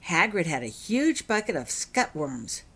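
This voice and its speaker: A woman's voice: lowish voice, very animated, unhurried, fairly bright tone, breathy edge, exaggerated voice. A woman voicing a blunt, emphatic schoolboy given to complaining, in a storybook reading. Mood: excited.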